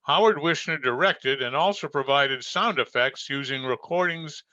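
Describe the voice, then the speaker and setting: A male announcer reads scripted radio credits in a bright, slightly high voice. The recording is dry and a bit dull. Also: moderate pace, no echo.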